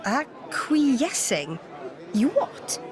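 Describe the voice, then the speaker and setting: An actress playing a feisty, sarcastic young companion in a video game, blunt and teasing. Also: female voice, high voice, huge pitch swings, extremely slow, exaggerated voice. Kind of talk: animated video game voice acting. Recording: noisy ambience and crowd behind.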